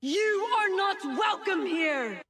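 A woman's voice: very high-pitched, lively intonation, slow, a crowd in the background, exaggerated voice. A woman playing an imperious, commanding fantasy warrior heroine, calling theatrical battle lines in a video game.

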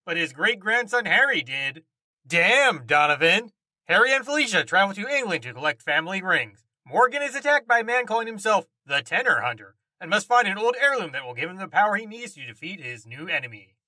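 A man's voice: high voice, pushed and bright, dry recording, poor audio. A man giving a scripted narration, reading out episode summaries as a narrator.

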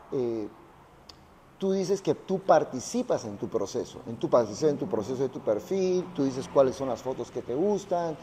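A male interviewer puts questions in a conversational manner. His voice is mid-pitched with a mellow, dark timbre. The room adds some lingering reverb. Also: slight background noise.

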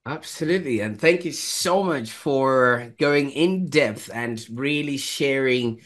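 A man's voice: medium pitch, takes it slowly, a bit bright. Thirties male host, video call from a home study, affirming, long-winded questions. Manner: affirming, rambling questions with many "you know"s.

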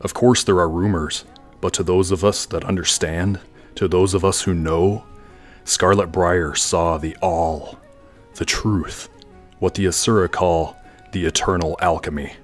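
Deep brooding villain played by a man. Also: steady tone, soft background music. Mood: grave, grandiose. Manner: dramatic, measured monologuing. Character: brooding, grandiose male villain plotting world domination. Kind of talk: fan audio drama acting.

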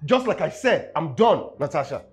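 A domineering young man with a Nigerian accent and a quick temper. He harangues scornfully, his pitch leaping up and down, over faint music in a screen drama. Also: sing-song. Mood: curt, fed up. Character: hot-tempered, domineering young male house owner. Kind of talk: film drama acting.

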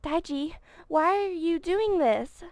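A woman's voice: extremely high pitch, lively intonation, slow pace, a little mellow, no room sound, studio quality, voice pushed up, heavily acted. A young woman voicing an earnest, sweet young anime girl with emphatic delivery.

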